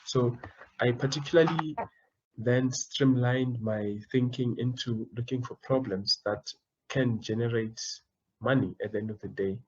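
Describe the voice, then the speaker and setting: A man's voice: mid-range voice, a little mellow. Young guy, earnest, keeps restarting his words, kinda muffled lo-fi sound. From South Africa. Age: young adult. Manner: earnest, repeats words while searching for phrasing. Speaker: guest, student entrepreneur. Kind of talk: interview answers.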